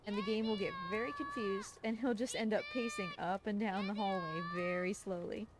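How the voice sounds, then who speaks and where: A woman's voice: mid-range voice, animated, moderate pace. A woman giving informal, explanatory live commentary while she plays a game, talking casually and affectionately. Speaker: speedrunner commentating on own game run.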